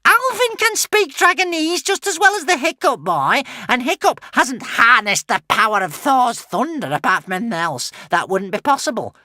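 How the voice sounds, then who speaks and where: A spiteful storybook witch, pitched very high and swooping wildly. She hisses, squeals and snaps out her words with loud, nasty force. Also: sing-song, normal speed, hardly any pauses, dry recording, clean studio sound, heavily acted. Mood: scornful. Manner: hissing, squealing, snapping. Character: spiteful, anxious, raging old witch villain.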